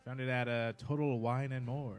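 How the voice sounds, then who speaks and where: A man's voice: medium pitch, steady tone, moderate pace, smooth, faint music behind. A man co-hosting a podcast, chatting casually in an offhand, slangy way with some swearing. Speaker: podcast co-host. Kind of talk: casual chat.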